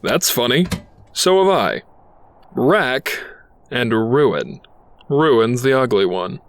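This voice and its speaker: A man's voice: medium pitch, expressive, takes it slowly, no room sound. A man playing a cynical, wisecracking robot detective with a sardonic delivery in an audio drama. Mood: dry, sardonic. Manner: sardonic, quippy.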